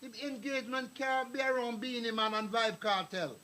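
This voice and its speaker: A man's voice: very high voice, fairly even intonation, moderate pace, sharp tone. An older man giving a rambling, anecdotal address to a community meeting, calling on people in the room. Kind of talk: public address to an audience. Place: meeting hall.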